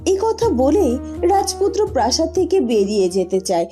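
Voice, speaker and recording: A woman's voice: sits high, sing-song, moderate pace, dark tone, soft background music. A woman narrating a scripted fairy tale as storyteller.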